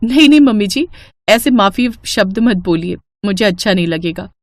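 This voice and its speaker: A woman's voice: mid-range voice, animated, normal speed, dry recording, close to an everyday voice. A woman voicing a sweet, deferential young bride in a cartoon family story, polite and conciliatory. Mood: gentle.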